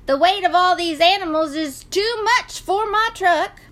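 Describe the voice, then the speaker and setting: A woman's voice: very high voice, unhurried, fairly bright tone, voice pushed up. A woman voicing a kindly, easygoing male farmer in a children's story, friendly and reassuring.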